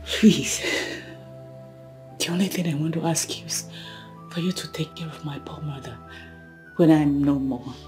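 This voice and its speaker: An actress playing a loyal young woman who pleads quietly and in whispers for her mother to be cared for. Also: low voice, unhurried, pauses often, faint music behind. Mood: pleading. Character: selfless young woman facing her death, loyal friend.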